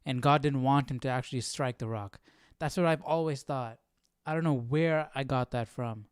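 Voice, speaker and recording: A man's voice: medium pitch, moderate pace, smooth, no room sound, clean studio sound. A man hosting a Bible study, talking casually and questioning as he thinks aloud. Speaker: host of a live Bible study. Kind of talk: casual reaction and study commentary.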